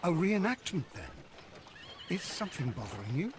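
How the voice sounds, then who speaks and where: An actor playing a detective's sceptical English companion in a video game, with a dry, questioning manner. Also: male voice, fairly high pitch, big pitch swings, normal speed, background noise. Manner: dry, questioning, gently exasperated. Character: gentleman detective's sceptical, wry male companion. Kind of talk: scripted video game dialogue.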